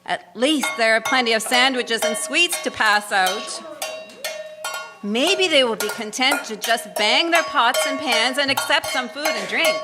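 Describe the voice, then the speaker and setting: A woman's voice: fairly high pitch, animated, a little slow. A woman playing an anxious, genteel Victorian mother of the bride in a radio drama. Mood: worried, hopeful. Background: music and clanging pots and pans.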